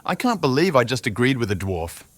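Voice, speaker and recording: A man's voice: mid-range voice, sing-song, a little fast, pitched above the performer's own voice. A man voicing a pompous male royal guard who scolds in a prim, stuffy manner. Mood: huffy.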